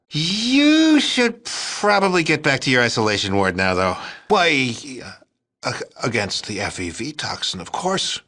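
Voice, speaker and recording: Self-righteous, fanatical American president. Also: male voice, on the low side, bright, forceful tone, full character voice. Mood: patronizing, patriotic. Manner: folksy politician's oratory, righteous and patronizing. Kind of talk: video game villain dialogue.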